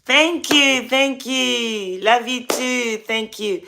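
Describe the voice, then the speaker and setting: A woman with a Ghanaian accent hosts a relaxed livestream, chatting with her viewers as they come in. Her voice is bright and forward, and she talks at an easy, unhurried pace, pausing often. She greets people by name and keeps coaxing them to subscribe. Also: on the high side, creaky. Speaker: livestream host and gospel artist. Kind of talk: casual livestream chat.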